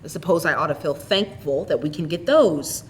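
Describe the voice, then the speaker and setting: A woman's voice: low voice, normal speed, a little hiss or hum, voice pushed down, close to an everyday voice. Storybook widowed aunt, acted by a woman, worn-out and hard-working, with a broken, weary delivery. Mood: resigned.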